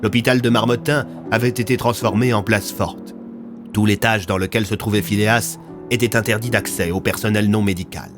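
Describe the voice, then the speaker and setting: A man's voice: lowish voice, fairly even intonation, moderate pace, music in the background. A man narrating a scripted audio fiction story.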